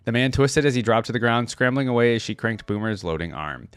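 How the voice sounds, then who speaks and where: A man's voice: mid-range voice, animated, a little fast, fairly bright tone, smooth, no echo. A man doing casual, chatty reaction commentary, reading aloud and commenting informally to his viewers.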